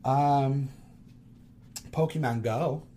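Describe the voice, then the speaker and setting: A man in his late twenties co-hosts a casual conversational show. His voice sits at an ordinary middle pitch and sounds tired, and he talks in halting, self-correcting phrases as he thinks aloud. A faint noise sits under the recording. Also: mellow. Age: late 20s. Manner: halting, restarts and reflects aloud. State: tired from lack of sleep. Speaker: podcast co-host. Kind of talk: casual chat.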